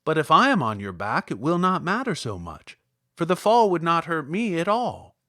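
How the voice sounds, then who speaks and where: A man's voice: on the high side, wildly swooping pitch, normal speed, sharp tone, no echo, studio quality, exaggerated voice. A man voicing a friendly living scarecrow in a storybook, brave and logical, reasoning emphatically step by step. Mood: eager, brave.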